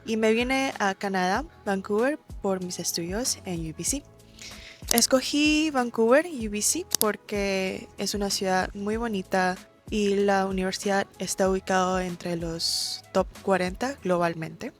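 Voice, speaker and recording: A woman's voice: mid-range voice, measured pace, soft background music. Young woman giving advice. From Ecuador. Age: young adult. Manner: friendly, direct, mixes in English words. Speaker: vlogger. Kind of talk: advice vlog to camera.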